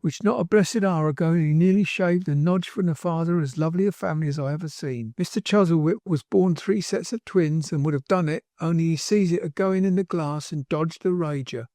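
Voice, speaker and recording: A man's voice: a little high, fairly quick, few pauses, mellow, no room sound, clean studio sound, voice pushed up, lightly acted. A man voicing an indignant older woman nurse who rambles in ungrammatical speech.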